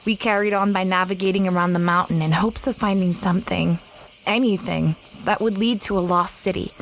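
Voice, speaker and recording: An expressive young woman explorer, played by an actress in an audio drama, recounting her expedition in the first person. Her voice sits at a middle pitch. Clear insect ambience runs behind her. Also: a little fast, noisy background, lightly acted. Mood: wry, reflective. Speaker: narrator and lead character.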